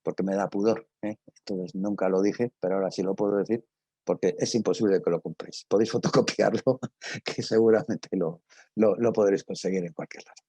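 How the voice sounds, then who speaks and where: A man's voice: on the low side, fairly even intonation, fairly quick, warm tone, husky, no room sound. An older man with a Castilian Spanish accent giving an informal lecture, conversational and digressive, telling anecdotes and addressing his listeners directly. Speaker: lecturer, radio drama teacher.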